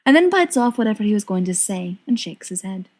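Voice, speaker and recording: A woman's voice: medium pitch, very animated, fairly quick, darkish tone. A woman reading a scripted fiction story aloud as an audiobook narrator. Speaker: audiobook reader.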